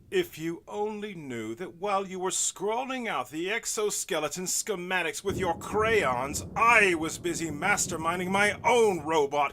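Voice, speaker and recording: A man's voice: sits high, sharp tone, slight background noise, pitched above the performer's own voice, exaggerated voice. A man playing a gloating, vengeful young mad scientist, grandiose and melodramatic, in a comic monster-movie audio drama.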